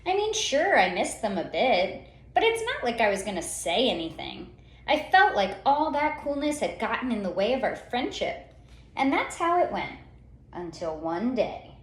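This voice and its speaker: A high-voiced woman reads a picture book aloud in a roomy, echoey space. Her delivery is theatrical and very lively in pitch, with dramatic pauses and stretched-out words for young listeners. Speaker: children's read-aloud storyteller. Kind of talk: expressive picture-book storytelling.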